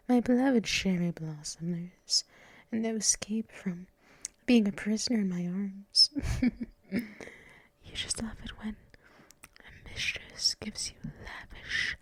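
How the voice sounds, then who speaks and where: A woman playing a doting, teasing, gently dominant mistress in an ASMR roleplay, breathy and mostly whispered, cooing close to the microphone. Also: mid-range voice, no room sound. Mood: teasing, possessive. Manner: slow, cooing, teasing, drawn-out pauses and hums. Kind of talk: unscripted ASMR roleplay, addressed to the listener.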